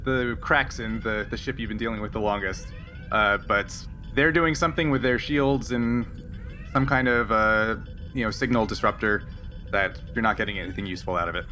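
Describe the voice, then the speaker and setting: A man's voice: lowish voice, normal speed, bright, forceful tone. Casual male game master, noisy background. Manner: hesitant, improvising, chuckling often. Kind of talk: casual tabletop game narration. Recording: clear background noise.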